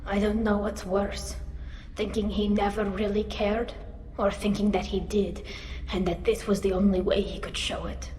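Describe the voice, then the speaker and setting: A young alien woman played by an actress in a cinematic game, her voice ringing with long reverb at a middle pitch. She is earnest and defiant, a grieving daughter who halts when feeling takes over. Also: flat delivery, dark tone, slight background noise, natural voice. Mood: somber resolve. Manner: earnest, halting under emotion. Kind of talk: cinematic video game voice acting. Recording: roomy echo, long reverb.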